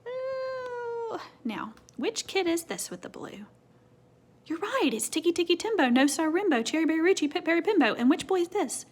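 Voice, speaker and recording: Animated, high-voiced woman reading to children. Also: normal speed, slight background noise. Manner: teacherly, prompting with questions, dropping to hushed tones. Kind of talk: animated read-aloud with asides to young listeners.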